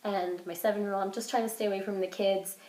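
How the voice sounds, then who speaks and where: A woman vlogging casually while sick with a cold, her voice hoarse and congested. She rambles with lots of fillers and restarts, keeping an upbeat, self-encouraging tone. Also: mid-range voice, steady tone, fast, mellow. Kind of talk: casual vlog chat. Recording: roomy echo.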